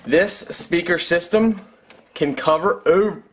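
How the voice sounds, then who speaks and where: A man's voice: high voice, slow pace, slight background noise. A man in his thirties doing a casual product unboxing review, talking as a reviewer at home. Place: room at home.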